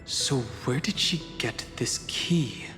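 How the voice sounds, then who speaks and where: A man playing a calm, analytical, guarded young man in an anime video game, speaking in a measured, serious, protective way. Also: mid-range voice. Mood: pensive, analytical. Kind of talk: English-dubbed anime video game acting. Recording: long hall reverb under clear music.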